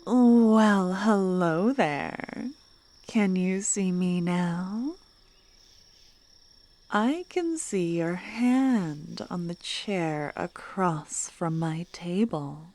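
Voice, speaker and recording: A woman voicing a flirtatious, mysterious female fortune teller in an ASMR roleplay, teasing in a soft whisper. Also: fairly low pitch, animated, extremely slow, heavily acted.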